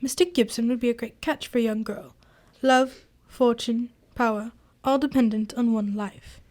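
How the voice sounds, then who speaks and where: A woman playing an amiable, chatty gentleman colleague of a detective in an amateur radio drama. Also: a little high, fairly even intonation, moderate pace, lightly acted. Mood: curious, engaged. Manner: chatty, eager, exclaiming.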